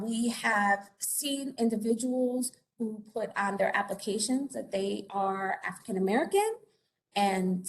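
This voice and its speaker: A woman's voice: mid-range voice, vocal fry, poor audio. A woman from Puerto Rico giving a conversational informational presentation as an investigator, with many fillers and tag questions. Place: conference or meeting room.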